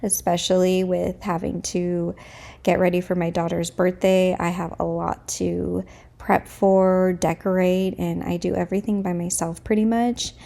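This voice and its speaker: Woman, 30s, home vlogger. Casual, open chat to camera. Slightly stuffed up, with a rough, creaky edge to the voice. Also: lowish voice, flat delivery, measured pace, warm tone. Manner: candid and chatty, thinking aloud.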